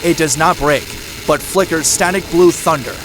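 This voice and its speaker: A man's voice: a little high, normal speed, background noise. A man reading scripted action narration for an audio drama. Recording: loud music bed. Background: loud music.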